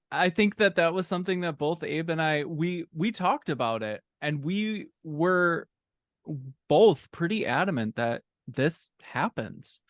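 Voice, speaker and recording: A man's voice: on the high side, no room sound, studio quality. A man speaking casually as a guest author in a podcast interview.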